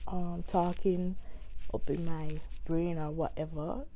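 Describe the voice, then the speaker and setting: A young woman with a hoarse, stuffy voice from a cold, unboxing makeup in a rambling vlog with many ums and restarts. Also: sits low, little pitch movement, slow pace, mellow, a little hiss or hum, rough recording. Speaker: beauty vlogger.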